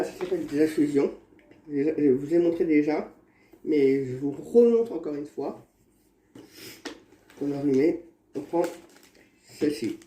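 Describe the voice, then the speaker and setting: A man with a cold talks his viewers through his home remedies in a rambling, halting way, circling back over the same phrases. His voice is fairly high, dark and mellow. The sound is caught on a phone in an echoey room with a faint hiss behind him. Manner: rambling, repeats himself, halting restarts. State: has a cold. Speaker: vlogger. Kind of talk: casual home how-to vlog.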